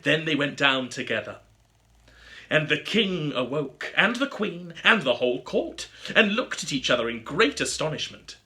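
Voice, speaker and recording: Casting: male storybook narrator, higher register, very bright and forward tone, theatrical and expressive. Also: normal speed. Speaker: storybook reader. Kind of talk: scripted fairy tale narration.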